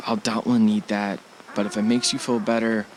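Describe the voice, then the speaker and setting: A man's voice: fairly low pitch, natural voice, poor audio. A man playing an easygoing, affectionate husband on vacation in an audio drama, speaking casually with a joking manner. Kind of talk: audio drama acting, conversational. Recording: faint background noise.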